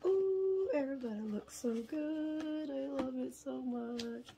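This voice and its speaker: A woman's voice: high voice, slow pace, gravelly, background noise. A woman with a stuffy nose from a cold, chatting casually and a bit tiredly while unboxing at a table. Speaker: unboxing vlogger. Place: indoors at a table, filming hands from above.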